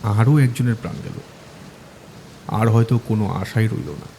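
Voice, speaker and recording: A man narrating a village ghost story in a measured, dramatic manner. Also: on the low side, dark tone, a little hiss or hum. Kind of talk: scripted horror audio story narration.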